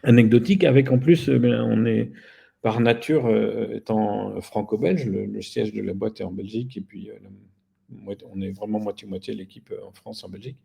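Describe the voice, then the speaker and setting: Husky, gravelly man, informal and wry. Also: fairly low pitch, fairly even intonation, moderate pace, warm tone. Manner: informal, wry, self-deprecating. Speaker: guest, company founder. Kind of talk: casual explanatory interview talk.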